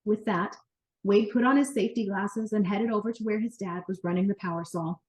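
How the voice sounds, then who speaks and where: A woman narrating a children's book as an expressive, animated read-aloud storyteller. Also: medium pitch, fairly quick, low-quality recording.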